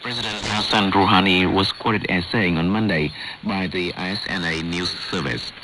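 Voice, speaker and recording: A man reading the news in a measured, formal way, on a radio broadcast. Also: low-pitched. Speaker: newsreader. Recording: noisy shortwave radio broadcast. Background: shortwave static and hiss.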